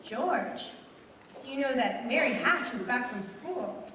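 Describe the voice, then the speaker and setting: A far-off, reverberant recording of a staged radio play. An affectionate, nudging small-town mother played by a woman talks in a high, very lively voice. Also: a little slow. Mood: coaxing. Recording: echoey hall from far off, faint noise.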